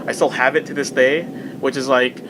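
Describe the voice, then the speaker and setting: A man's voice: medium pitch, flat delivery, brisk. Casual, joking man with faint background noise. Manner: self-deprecating, joking. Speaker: podcast guest, video game company public relations specialist. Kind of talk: casual group chat, telling anecdotes.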